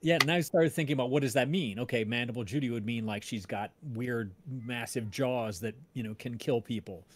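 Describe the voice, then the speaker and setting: A man telling a story casually on a livestream, rambling and often interrupting himself. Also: mid-range voice. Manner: rambling, halting, self-interrupting. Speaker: podcast creator and voice-drama maker.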